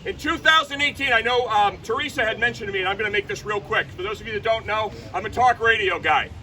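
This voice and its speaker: A man delivers a fiery outdoor rally speech, punching his points and shouting slogans. His voice sits high and very bright. He is picked up from a distance, with faint crowd and traffic noise around him. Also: fast talker. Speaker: rally speaker. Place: outdoor rally by a state capitol.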